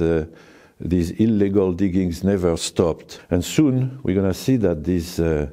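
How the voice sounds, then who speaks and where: A man in his sixties with a French accent, a cave owner giving a guided tour to camera, talking slowly and haltingly with many fillers. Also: low voice, dark tone. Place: forest outdoors, then inside a small cave.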